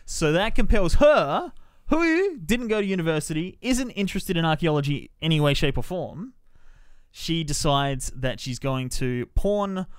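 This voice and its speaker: A man's voice: on the high side, lively intonation, measured pace, smooth, dry recording, studio quality. A man hosting a podcast, giving a casual, sweary spoken review and acting out bits of dialogue as he goes. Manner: sweary, mimics characters in quotes. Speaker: podcast host reviewing films. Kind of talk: casual spoiler-filled movie review chat.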